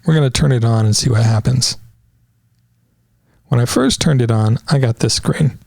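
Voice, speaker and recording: A man's voice: medium pitch, normal speed, creaky, a little mellow, a little hiss or hum. A man doing a casual, wry walkthrough of an audio product, with sarcastic asides. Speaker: product reviewer.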